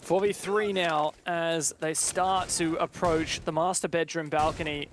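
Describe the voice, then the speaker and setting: A man's voice: sits high, slight background noise. A man doing live play-by-play commentary on an esports match in clipped, dramatic phrases.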